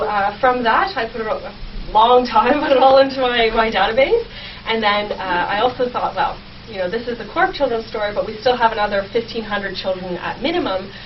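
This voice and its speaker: A young woman explaining her research conversationally and enthusiastically as an interview guest. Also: medium pitch. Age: young adult. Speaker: guest researcher, history PhD student. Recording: distant, dull, clearly noisy sound.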